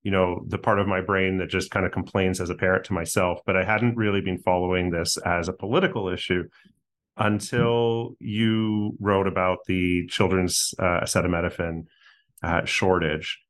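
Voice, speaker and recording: A man's voice: sits low, steady tone, normal speed, a bit bright. A man in his thirties hosting a political podcast, moving between a polished scripted opener and hesitant, chatty questions. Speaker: podcast host. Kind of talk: scripted intro, then casual hosting.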